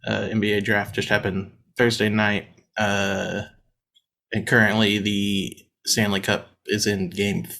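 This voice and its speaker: A man in his thirties co-hosting a casual sports podcast, feeling a bit unwell, talking in a relaxed way with plenty of fillers. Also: fairly low pitch. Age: 30s. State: under the weather, voice deeper than usual. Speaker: podcast co-host.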